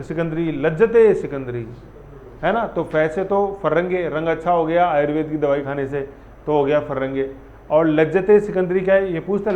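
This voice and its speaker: A man teaching an exam-prep history lesson in a chatty, repetitive way, often quizzing his students. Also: fairly high pitch. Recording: echoey room, dull audio, faint ambience.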